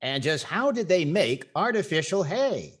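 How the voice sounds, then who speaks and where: A man's voice: on the high side, sing-song, a little slow, full character voice. An older man playing a wry, wisecracking comedy host in a 1940s radio style. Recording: clear background noise and clicks.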